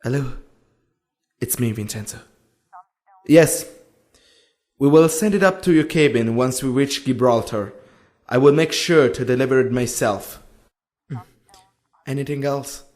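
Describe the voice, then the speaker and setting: A man playing a friendly, gentlemanly ship's waiter in a radio play, polite and easygoing. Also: medium pitch, a little slow, long pauses, natural voice. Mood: polite, businesslike.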